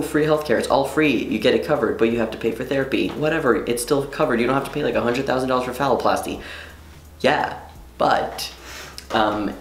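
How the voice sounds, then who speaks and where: Rambling young man, echoey room. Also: fairly low pitch. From Quebec, Canada. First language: French. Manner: rambling, self-interrupting, swearing. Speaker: vlogger. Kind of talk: casual vlog monologue. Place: room at home.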